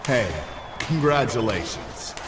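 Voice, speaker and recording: A man's voice: medium pitch, animated, slow pace. A man playing a smug, sarcastic wrestler with a taunting manner in a video game. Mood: mocking. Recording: echoey hall with noise.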